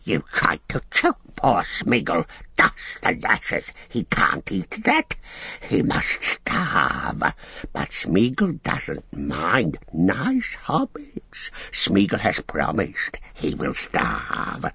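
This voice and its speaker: Wretched whiny storybook creature, super drawn out, hissy and raspy, pitch leaping all over. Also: male voice, huge pitch swings, bright, forceful tone, no echo, full character voice. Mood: self-pitying. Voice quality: raspy, hissing, strangled. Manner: repeats words, refers to self in third person, drawn-out. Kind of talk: audiobook character acting.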